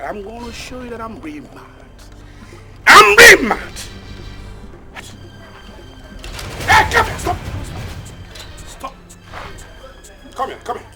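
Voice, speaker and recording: An actor with a Nigerian accent playing a hot-tempered village bully who shouts curses and threats. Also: male voice, high voice, vocal fry, full character voice. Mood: enraged. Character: hot-tempered self-proclaimed bad guy in a village, man. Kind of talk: film acting.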